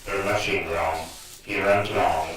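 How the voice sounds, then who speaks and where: Low, slow German robot butler. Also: male voice, fairly even intonation, a bit bright, a little hiss or hum, rough recording. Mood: stiff, dutiful. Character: stiff, dutiful male robot manservant of metal.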